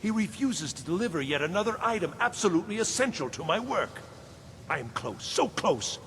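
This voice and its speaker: Obsessive game mage, male, self-important and agitated, animated higher pitch, long echoing hall. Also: exaggerated voice. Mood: frustrated, urgent. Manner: dramatic, halting. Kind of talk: video game dialogue. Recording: echoey long reverb, faint rumble.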